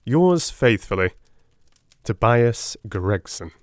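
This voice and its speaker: A man narrating an audiobook as dramatic literary reading, in a theatrical, deliberate manner. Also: mid-range voice, very animated, no room sound, clean studio sound.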